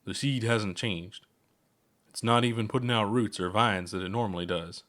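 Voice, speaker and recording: A man voicing a calm elderly alien scientist in an audiobook, kindly and measured as they explain things. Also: fairly low pitch, natural voice. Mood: serious, explaining.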